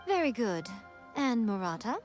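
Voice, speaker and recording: An elegant, sly lady with a fairly high, expressive voice. She sounds poised and playfully condescending, a game character in charge, with faint music underneath. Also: normal speed. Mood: composed authority. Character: sly, elegant shrine lady and publishing boss, teasing. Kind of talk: video game character acting.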